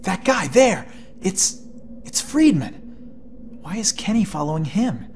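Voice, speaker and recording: Determined teenage student, worried, lively pitch, game cutscene, background noise. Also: female voice, unhurried. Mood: suspicious, tense. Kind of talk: video game cutscene voice acting.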